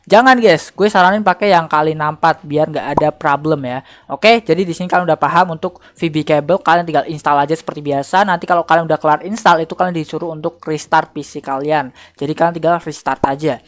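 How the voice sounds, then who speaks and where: A woman with an Indonesian accent hosting a casual software tutorial, talking to viewers in informal, slangy language. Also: lowish voice. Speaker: tutorial host.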